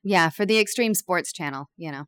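Woman in her thirties, low smooth voice, candid interview guest with dry self-mocking humor. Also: fairly quick, fairly bright tone, no echo. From born in Peru, raised abroad. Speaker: guest, bakery owner. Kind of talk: casual interview chat.

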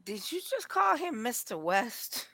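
A woman's voice: a little high, sing-song, unhurried, bright, forceful tone, dry recording. A woman chatting casually on a talk show, sharing her opinions. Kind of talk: casual chat, anecdotes and opinions.

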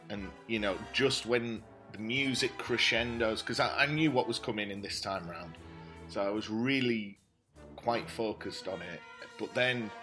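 A man co-hosting a podcast, discussing things analytically in thoughtful, halting phrases. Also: on the low side. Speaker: podcast co-host.

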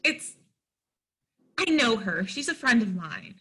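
A polite, easily affronted young woman, as an actress plays her on stage. Her voice is high and bright, swinging up and down as she talks. She comes across as prim and anxious. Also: big pitch swings, slow pace, slightly rough, heavily acted. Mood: flustered. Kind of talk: readers theatre stage-play acting.

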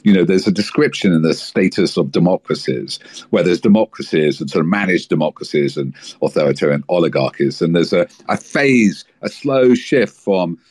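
A guest commentator man with an extremely deep, husky voice. He lays out his analysis fluently and in detail. He sounds dry and close. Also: expressive, normal speed, darkish tone, dry recording. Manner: fluent, well-informed. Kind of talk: political analysis.

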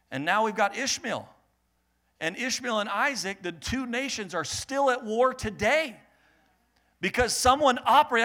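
A man preaching a sermon in a lively, joking way, swelling loud, then dropping to quiet teaching. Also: sits high. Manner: animated, jokey preaching with sudden loud swells and quiet stretches. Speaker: pastor.

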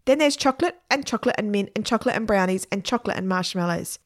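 A woman's voice: mid-range voice, animated, fast, dry recording, clean studio sound, lightly acted. A woman voicing a chatty, teasing ice cream seller, a grown man in a storybook.

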